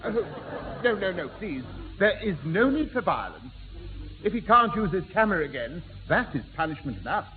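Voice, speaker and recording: A tinny, echoing old radio recording carries a fussy, genteel peacemaker. His voice is high for a man and very animated, hesitant and placating in sixties sitcom fashion. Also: normal speed, slight background noise. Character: mild, fussy, soft-hearted man, placating family friend. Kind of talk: 1960s radio sitcom acting.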